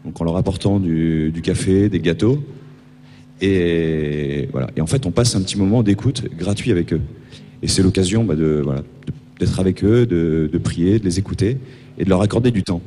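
A man's voice: sits low, moderate pace, mellow. A young man with a French accent giving an informal, somewhat hesitant personal testimony. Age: young adult. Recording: reverberant hall, faint hum.

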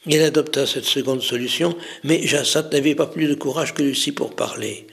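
A man narrating a religious story as a storyteller, deliberately, in short phrases separated by pauses. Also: mid-range voice, creaky.

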